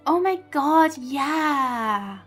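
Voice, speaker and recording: A woman's voice: sits high, animated, very slow. A woman doing casual livestream commentary on a video game, chatty and giggly. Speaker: game streamer. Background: game music.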